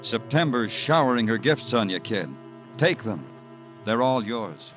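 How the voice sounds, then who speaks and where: An actor playing a world-weary police detective in an old radio crime drama, measured, brooding and hard-boiled. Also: male voice, medium pitch, expressive, lightly acted. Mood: brooding, wry. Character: world-weary male police detective narrating his own case. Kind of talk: 1940s-50s radio crime drama acting and narration. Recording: old radio recording with prominent music.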